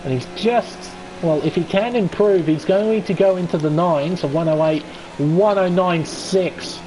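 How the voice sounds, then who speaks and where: A man's voice: sits high, a little slow, darkish tone, slight background noise, rough recording. An Australian man giving chatty, enthusiastic live play-by-play commentary on an online racing league qualifying session. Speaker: esports race commentator.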